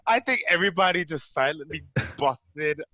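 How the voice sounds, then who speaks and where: A man's voice: a little high, expressive, normal speed. A man in casual, teasing, sweary chat with castmates over a dull online call. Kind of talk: casual chat among cast. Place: online live stream call.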